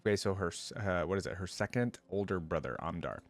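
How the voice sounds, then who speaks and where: A man's voice: deep voice, animated, fast. A man hosting a reaction video, reading a story aloud and commenting in an informal, slangy way.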